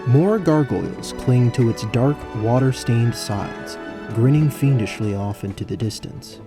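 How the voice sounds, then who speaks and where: A man's voice: mid-range voice, a little slow. A man narrating a tabletop fantasy game as the game master, in a storytelling style. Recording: faint music under the voice. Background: background music.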